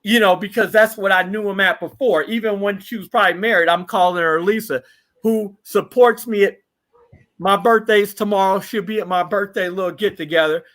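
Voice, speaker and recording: Fifties male guest, high-pitched, reminiscing in loose chat, listing old friends. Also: steady tone, brisk. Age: 50s. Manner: reminiscing, rattling off shout-outs. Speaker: podcast guest. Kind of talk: casual interview chat.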